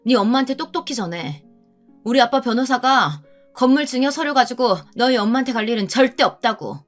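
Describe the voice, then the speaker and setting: Woman acting a furious high-pitched older sister. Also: a little fast, soft background music, natural voice. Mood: angry, threatening. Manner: sharp, scolding, emphatic. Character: furious, threatening adult older sister guarding family inheritance.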